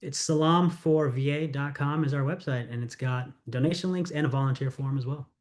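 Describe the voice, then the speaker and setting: A man's voice: medium pitch, a little mellow. A middle-aged man from the United States, a congressional candidate answering interview questions in an earnest, storytelling way. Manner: earnest, storytelling, quick asides.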